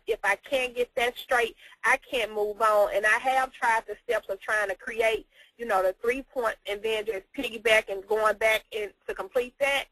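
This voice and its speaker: A woman's voice: medium pitch, fairly bright tone, dry recording, rough recording. A woman student asking for help on a class call, hesitant and apologetic, rambling as she explains herself. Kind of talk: informal question on a class call.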